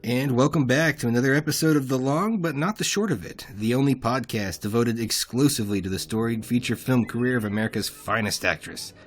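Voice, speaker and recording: An actor playing an earnest, indignant film-fan podcast host in a comedic audio drama. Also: male voice, mid-range voice, fairly quick, few pauses, natural voice. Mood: presenting.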